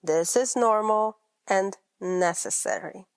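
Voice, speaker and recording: A woman's voice: mid-range voice, slow pace, creaky, clear, resonant tone, no echo. A woman in her thirties from Poland with a Polish accent, hosting her own podcast as a life coach in an earnest, personal way with rolled R's. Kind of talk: scripted podcast monologue.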